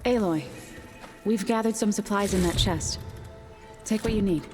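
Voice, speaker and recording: A helpful ally from an adventure game, played by a woman, speaks in a plain way at a middling pitch. The voice rings in a large reverberant space. Music is clearly heard behind it. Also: moderate pace, lightly acted. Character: helpful female companion in an adventurers' base. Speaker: video game companion.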